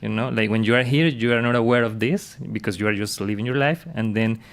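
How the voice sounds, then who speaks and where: A man, a university professor chatting casually in English with many pauses and fillers. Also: lowish voice, creaky. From Colombia. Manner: hesitant, many fillers and restarts.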